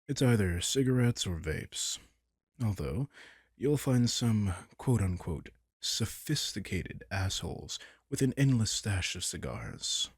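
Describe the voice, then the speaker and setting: Vampire king, male actor: possessive, sardonic, impatient. Breathy, unhurried delivery, condescending and casually profane, roleplay audio. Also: low-pitched, no echo, studio quality, full character voice. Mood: weary, relaxed. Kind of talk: intimate roleplay audio drama.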